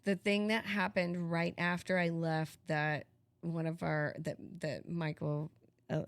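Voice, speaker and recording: A woman with a lowish voice talks casually, as a podcast co-host would when telling a story. The sound is dry and close, with very little room around it. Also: flat delivery, measured pace, clear, resonant tone, dry recording.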